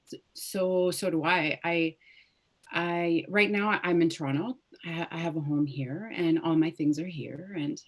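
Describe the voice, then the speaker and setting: Reflective lebanese-born woman on a video call. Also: fairly low pitch. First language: Arabic. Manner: warm, reflective, many restarts and fillers. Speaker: guest soprano. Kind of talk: casual interview chat.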